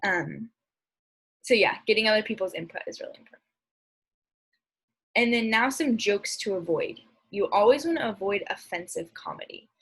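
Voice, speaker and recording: A young woman with a slightly husky voice talks through an instructional presentation. Her delivery is casual and conversational, at a middling pitch for a woman. She is recorded at home on a laptop mic. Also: lively intonation, moderate pace, long pauses, a bit bright. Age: young adult. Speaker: speech and debate presenter. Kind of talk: informal instructional slide presentation. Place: indoor room at home, on a video recording.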